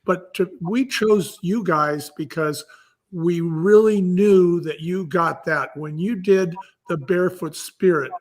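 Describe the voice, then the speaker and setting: A man's voice: sits high, slow pace. A man talking conversationally as an interview guest, explaining things emphatically like a storyteller and repeating key phrases. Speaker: audiobook producer and guest.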